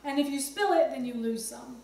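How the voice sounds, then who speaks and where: High-pitched woman teaching in an echoey kitchen. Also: fairly quick, gravelly, darkish tone. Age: middle-aged. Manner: conversational, explanatory, chatty asides. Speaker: cooking instructor, host. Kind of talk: casual instructional demonstration to camera. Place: home kitchen, indoors. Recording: roomy echo, distant mic, some background noise.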